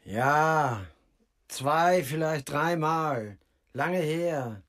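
An actor playing a worn-out old junkie drummer in a radio crime drama, speaking in sparse, halting phrases. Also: male voice, fairly high pitch, fairly bright tone. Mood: wistful.

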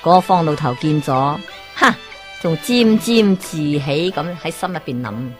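A woman with a Hong Kong Cantonese accent narrates a folk tale in a lively, exclaiming style. Her voice is low and her pace unhurried, and soft music plays faintly underneath. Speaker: storyteller.

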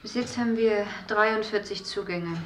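A woman's voice: medium pitch, normal speed, fairly bright tone, natural voice. An actress playing a weary, clinical woman who reports in a matter-of-fact way in a German radio drama. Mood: matter-of-fact, detached. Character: weary clinical woman tallying harvested organs in a dystopia. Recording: roomy, noisy background.

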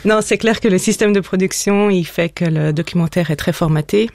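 A woman in her thirties from Switzerland and Denmark, a documentary filmmaker giving a radio interview in a calm, firm, plain-spoken way. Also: lowish voice, a little fast. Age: 30s.

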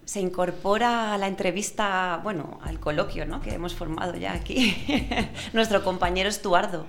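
A woman hosting a radio interview in a friendly, lively way, welcoming guests and co-hosts. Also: lowish voice, normal speed, faint music behind. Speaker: radio host.

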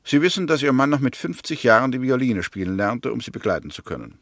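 Creaky-voiced sceptical, precise investigator. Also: male voice, medium pitch, very animated, fast, a bit bright, dry recording, clean studio sound. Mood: reasoned. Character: Mr. Hämmerte, probing, sceptical Englishman arguing a murder case. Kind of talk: 1960s German radio play, measured narration and debate.

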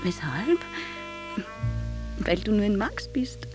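A woman playing a capricious, coquettish sweetheart from old Vienna in a literary recital, with theatrical, drawn-out phrasing. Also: medium pitch, sing-song, over music, low-quality recording. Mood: yearning, teasing. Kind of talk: dramatic literary recitation over music.

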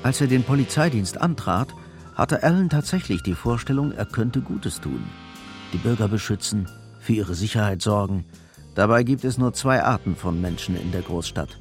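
Low-voiced male radio drama narrator. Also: lively intonation, moderate pace, faint music behind.

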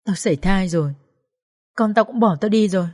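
A woman's voice: medium pitch, brisk, a little mellow, natural voice. A woman voicing a weary, heartbroken young mother in an audio story, subdued and resigned. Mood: grieving. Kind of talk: dramatised audio story dialogue.

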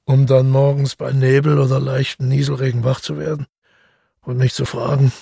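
An actor playing a reclusive, brooding countryman who muses haltingly over his memories in a radio play, with a gravelly, husky voice. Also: mid-range voice, flat delivery, mellow, no echo, clean studio sound. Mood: musing. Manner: musing, halting monologue.

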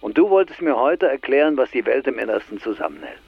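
An actor playing a teasing, enigmatic older man in a radio drama, speaking in a wry, measured, gravelly way through a telephone filter. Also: mid-range voice, sing-song, exaggerated voice. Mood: knowing, measured. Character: teasing, enigmatic older man, long-lost friend calling. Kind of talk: radio drama phone dialogue. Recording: slightly dull, band-limited phone line.

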